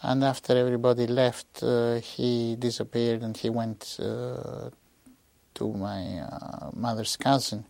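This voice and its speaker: A man in his sixties from Greece, giving a halting oral history testimony with many pauses and fillers. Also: mid-range voice, level tone, vocal fry, darkish tone, dry recording. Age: 60s. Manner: slow, hesitant, with frequent pauses and fillers. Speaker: interviewee.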